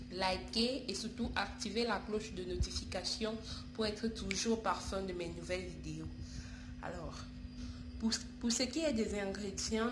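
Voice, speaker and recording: Woman talking thru a cold, kinda low voice, echoey room w noise behind. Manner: affectionate, calls viewers darlings, repeats and restarts. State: stuffy from a cold. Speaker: YouTube channel host. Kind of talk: home remedy tutorial. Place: home, indoors.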